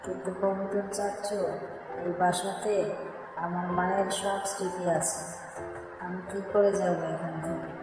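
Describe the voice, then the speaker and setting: A woman's voice: fairly low pitch, flat delivery, a little slow, close to an everyday voice. A woman voicing a sad little orphaned girl bird in a children's cartoon, plaintive and pleading. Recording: very echoey long reverb, music behind.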